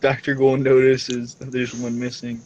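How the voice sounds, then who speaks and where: A man's voice: mid-range voice, completely flat delivery, moderate pace, dull audio, crowd noise. A man playing a tabletop game, chatting casually and joking with the group.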